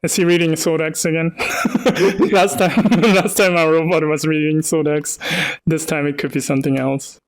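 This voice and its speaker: A man's voice: on the high side, steady tone, normal speed. A man from Hamburg with a light North German accent, chatting casually and explaining his work while he animates on a live stream. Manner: easygoing, laughs often, explains patiently. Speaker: artist hosting a live stream.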